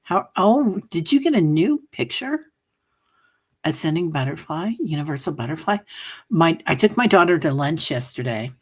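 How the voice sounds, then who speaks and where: A woman's voice: sits low, expressive, normal speed, darkish tone, slightly rough. A middle-aged woman hosting a livestream, chatting casually and reading viewers' comments aloud, with lots of fillers. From United States. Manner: rambling, reads chat comments aloud, many fillers. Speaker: livestream host. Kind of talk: casual chat with live chat.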